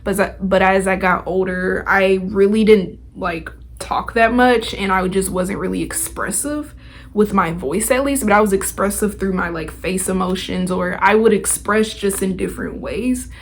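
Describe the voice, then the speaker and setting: A woman's voice: mid-range voice, normal speed, slight background noise. A young adult woman hosting a podcast, talking in a casual, motivational way with chatty, informal, slangy phrasing. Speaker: podcast host.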